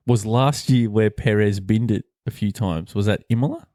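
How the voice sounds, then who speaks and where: A man's voice: fairly low pitch, normal speed, mellow, no echo, clean studio sound. A man hosting a podcast with casual, rambling sports chat and bantering humour. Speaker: podcast host.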